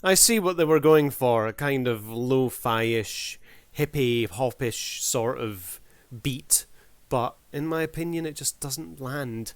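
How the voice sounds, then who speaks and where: A man presents a casual review as host, talking straight to his audience like a showman. His voice sits at a medium pitch, and the recording is dry and close, with little room sound. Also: slow, smooth, no echo. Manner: showman-like, addresses audience formally.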